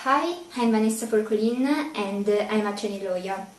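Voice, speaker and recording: A woman's voice: mid-range voice, normal speed. A woman, a trainee lawyer giving an earnest self-introduction. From Italy. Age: 20s. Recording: echoey room, distant mic.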